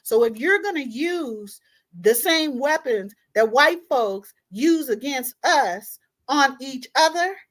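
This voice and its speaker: Woman, fairly high pitch, emphatic, direct address, informal online host. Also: lively intonation, takes it slowly, a bit bright. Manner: emphatic, direct address with repeated tag questions. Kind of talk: impassioned informal talk to viewers.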